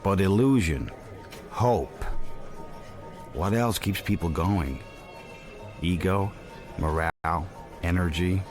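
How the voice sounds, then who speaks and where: An actor playing a worldly, watchful man narrating a radio drama in the first person, introspective, measured and dry. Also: deep voice, a little mellow, faint music behind. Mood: pensive, wry. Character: worldly, reflective, watchful male narrator-protagonist.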